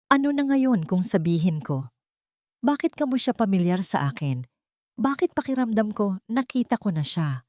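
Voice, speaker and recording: A woman's voice: medium pitch, very animated, moderate pace, warm tone, no echo, studio quality, pitched above the performer's own voice, lightly acted. Woman acting an insistent, indignant rich man. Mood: demanding. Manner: insistent, intense. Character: demanding, bewildered rich young man, former lover. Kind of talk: dramatic audio story acting.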